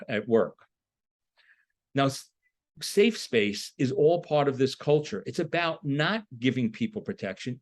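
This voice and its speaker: Emphatic man giving a lecture. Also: mid-range voice. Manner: emphatic, explanatory, with rhetorical questions. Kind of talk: lecture on a political topic.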